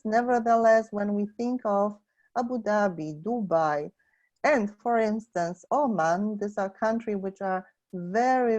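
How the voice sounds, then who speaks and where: A woman's voice: mid-range voice, darkish tone. A woman hosting a webinar, speaking to the online participants as moderator. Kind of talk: introducing the speaker and reading out chat comments. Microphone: webinar call. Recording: dull lo-fi online call audio.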